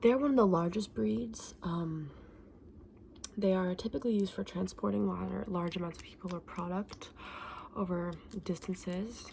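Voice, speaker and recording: Casting: woman performing as a chatty, gentle shop saleswoman for ASMR roleplay; hushed, often whispered delivery, gravelly fry, faint noise behind. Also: lowish voice. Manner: gentle, unhurried, informal explaining. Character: gentle, chatty woman selling dragon eggs. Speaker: ASMR roleplayer playing a shopkeeper.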